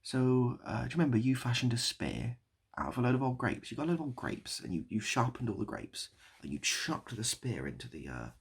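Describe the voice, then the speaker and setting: Husky, gravelly British man rambling. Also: mid-range voice, big pitch swings, brisk. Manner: mock-nostalgic, repeats phrases and asks the listener to remember. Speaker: online video creator and host. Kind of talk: rambling improvised storytelling to camera.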